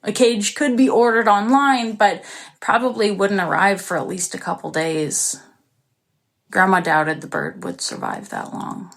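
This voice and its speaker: A young woman tells her own story in a dramatic audio monologue. Her voice sits at a middle pitch. She is confessional and intimate, with an isolated, uneasy, remorseful temper. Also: animated, measured pace, vocal fry, a bit bright, full character voice. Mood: worried. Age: young adult. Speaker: actress performing a monologue. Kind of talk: dramatic first-person audio drama narration.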